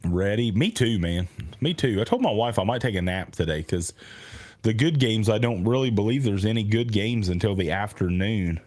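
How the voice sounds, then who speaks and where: A man with a raspy voice, clearly under the weather, trading casual and profane banter on a sports podcast. His delivery is loud and joking, and he sits in a small studio. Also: on the low side, expressive, normal speed. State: sick with a raspy voice. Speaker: podcast co-host.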